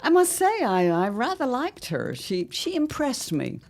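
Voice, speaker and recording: A woman's voice: fairly high pitch, wildly swooping pitch, measured pace, darkish tone, full character voice. A woman playing a shrewd, scheming mother of a grown son in an audio drama, with a grand, theatrical, drawling delivery. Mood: cool, calculating.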